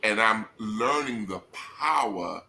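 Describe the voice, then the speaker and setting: A man with a deep bellowing voice, a parent giving emphatic advice in a casual panel discussion. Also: animated, takes it slowly, gravelly, bright, forceful tone, slight background noise.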